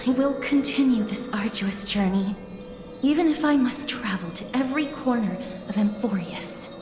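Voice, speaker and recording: An actress playing a gentle, solemn young woman who guides the dying, speaking softly and politely in a video game drama. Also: a little high, animated, natural voice. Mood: quiet resolve. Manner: slow, measured, polite. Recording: echoey, over background music.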